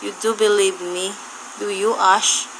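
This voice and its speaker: A woman playing an earnest, devoted adopted daughter in a fan audio murder mystery, speaking deliberately. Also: medium pitch, little pitch movement, close to an everyday voice. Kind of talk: fan audio drama acting. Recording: clear hiss and room hum.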